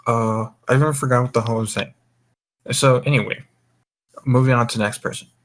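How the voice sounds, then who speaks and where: A man's voice: mid-range voice, fairly even intonation, fairly quick. A drunk young man hosting a casual group chat, rambling and swearing as he goes. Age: young adult. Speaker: voice call host.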